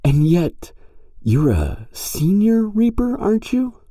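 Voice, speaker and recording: A prim angel of heaven, played by a man, pitched well up and swooping through an audio drama. The speech is formal and a little prissy, easily indignant yet earnestly persuasive. It sounds close and dry. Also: very animated, slow, dark tone, dry recording, heavily acted. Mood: indignant, skeptical. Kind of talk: audio roleplay acting.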